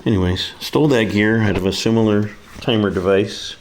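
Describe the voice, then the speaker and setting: A man's voice: on the low side, fairly quick, slight background noise. A man giving a casual, hands-on repair demonstration, talking through each step in a folksy, informal way. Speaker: repair video presenter. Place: kitchen, indoors.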